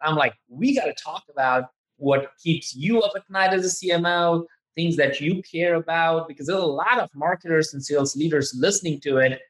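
A man's voice: fairly high pitch, normal speed, few pauses, fairly bright tone, rough recording. A man hosting a podcast interview, chatting informally and with enthusiasm. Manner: enthusiastic and informal, says "dude". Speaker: podcast host. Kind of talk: casual interview chat.

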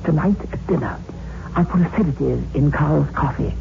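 An actress playing a desperate, pleading wife in an old-time radio suspense drama, speaking with urgent, earnest dramatic emphasis. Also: female voice, low-pitched, normal speed, gravelly. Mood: insistent, conspiratorial. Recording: dull old radio recording, faint noise.

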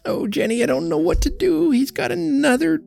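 A man's voice: very high-pitched, big pitch swings, moderate pace, vocal fry, darkish tone, faint music behind, pitched above the performer's own voice, heavily acted. A man voicing a fretful, pleading old country wife with a plaintive, folksy manner of speech. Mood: distressed. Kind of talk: audiobook character voice.

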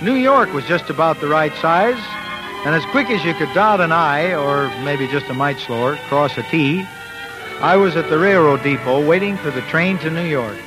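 A man's voice: fairly high pitch, moderate pace, lightly acted, poor audio. An actor playing an earnest small-town youth who tells his life story in a folksy, wry 1940s radio style. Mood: wry, nostalgic. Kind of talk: scripted narration, 1940s radio acting. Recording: vintage radio recording with music.

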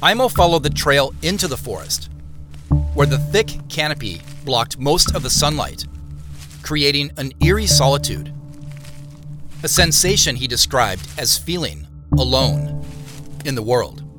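An actor playing a storyteller, narrating an audio drama in a measured, scripted way. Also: male voice, fairly high pitch, animated, loud music behind the voice. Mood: measured, mysterious. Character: storyteller recounting clues and an eerie boyhood tale.

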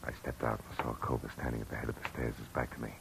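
A man's voice: extremely low pitch, little pitch movement, racing, vocal fry, a little hiss or hum, full character voice, rough recording. An actor playing a hard-boiled male private eye in a 1940s radio drama, clipped and sardonic, who also narrates. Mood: narrating. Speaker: narrator and lead.

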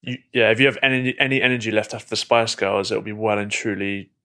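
A man's voice: lowish voice, steady tone, fast, bright, forceful tone. A man co-hosting a podcast, chatting casually and teasing his co-host. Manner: teasing, playful. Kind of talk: casual chat.

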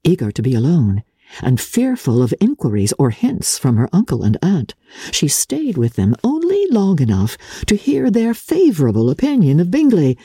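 A woman narrating classic fiction in a theatrical, measured and deliberate literary style, with a husky voice. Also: low-pitched, wildly swooping pitch, dark tone, dry recording, clean studio sound. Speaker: audiobook narrator.

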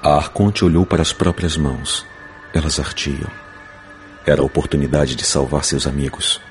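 Very deep-voiced male fantasy narrator. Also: fairly even intonation, normal speed. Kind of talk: dramatic scripted narration of a fantasy novel. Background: music.

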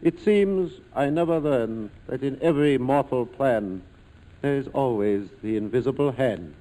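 Actor as a mellow, philosophical police lieutenant. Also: male voice, medium pitch, expressive, unhurried, lightly acted. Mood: wistful, reflective. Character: philosophical, courteous police lieutenant in colonial Saigon. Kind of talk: 1940s radio drama acting. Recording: old radio broadcast, long reverberant hall.

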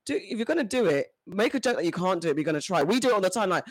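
A man, co-hosting a casual group podcast discussion, animated and quick, often restarting his sentences. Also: sits high, dry recording. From Britain. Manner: animated, talks fast with restarts. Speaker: podcast co-host.

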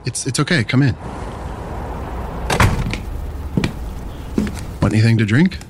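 An actor playing an easygoing man on a date, talking gently and reassuringly in a naturalistic fiction podcast. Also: medium pitch, animated, racing, very noisy, lightly acted. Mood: welcoming.

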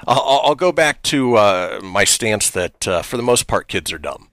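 A man with a lowish voice and a lively, up-and-down delivery hosts a radio show. He's wry and laid-back and laughs easily. His voice sounds dry and close to the mic. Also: normal speed, no room sound. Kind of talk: casual radio show hosting.

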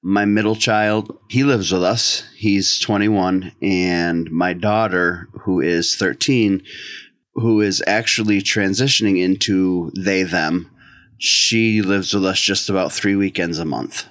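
A man's voice: low voice, fairly even intonation, slow, fairly bright tone, no room sound. A middle-aged American man from the northeastern United States, talking casually as a writer being interviewed.

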